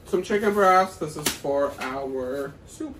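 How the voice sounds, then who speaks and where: A man's voice: on the high side, expressive, slow pace. A young man co-hosting a casual vlog, chatting playfully and colloquially to the camera. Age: young adult. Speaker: vlog co-host. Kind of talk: casual grocery haul chat to camera. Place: small kitchen at home, indoors. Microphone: camera a few feet away. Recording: roomy, distant mic, faint noise.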